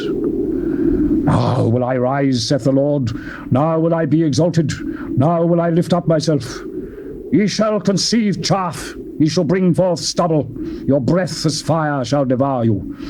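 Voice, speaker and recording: A British man giving a solemn, declamatory dramatized scripture reading, rising to soaring lines and dropping to hushed ones. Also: mid-range voice, very noisy. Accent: British English. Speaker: scripture reader.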